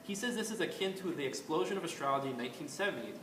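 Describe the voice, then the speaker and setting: A man's voice: a little high, lively intonation, racing. A man hosting an astrology video, talking in a casual, rambling way with frequent ums and restarts. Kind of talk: casual spoken commentary. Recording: echoey room with faint ambient noise.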